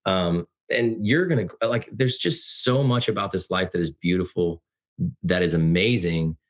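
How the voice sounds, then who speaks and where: Middle-aged man, lowish pitch, heartfelt casual talk, effusive, dull recording. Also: moderate pace. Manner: earnest, effusive. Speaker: podcast guest. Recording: dull lo-fi audio.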